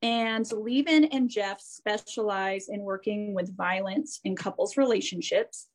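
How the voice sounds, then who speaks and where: A woman's voice: mid-range voice, low-quality recording. Woman, American accent, talk show host, upbeat, chatty, laughs easily. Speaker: host and therapist. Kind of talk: enthusiastic interview introduction.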